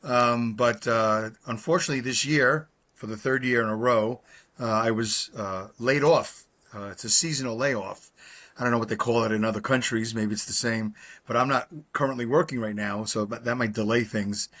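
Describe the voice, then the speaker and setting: A man's voice: mid-range voice, steady tone, normal speed, a bit bright. A man, chatting casually on a video call, rambling with lots of fillers and self-deprecating humor. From Brooklyn, New York. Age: 60s. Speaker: podcast co-host. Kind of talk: casual chat. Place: his home.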